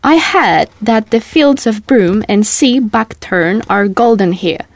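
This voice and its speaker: A woman's voice: medium pitch, lively intonation, slow, no echo. A woman playing a curious, appreciative tourist in a scripted audio drama, polite and a little stilted in delivery. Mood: curious, admiring. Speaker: tourist asking questions. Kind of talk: scripted audio guide dialogue.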